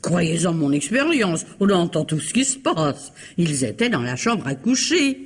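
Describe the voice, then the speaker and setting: A nosy older neighbour woman in a mystery radio play. Her husky voice swings up and down as she confides her gossip with theatrical, knowing insistence. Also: on the low side, very animated, unhurried, heavily acted. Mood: knowing, gossipy.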